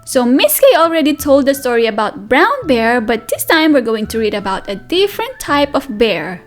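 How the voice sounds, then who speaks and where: A woman's voice: fairly high pitch, sing-song, normal speed, faint music behind. A woman reading aloud as a preschool teacher, addressing small children in a sing-song manner with questions and repetition. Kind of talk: interactive children's read-aloud lesson.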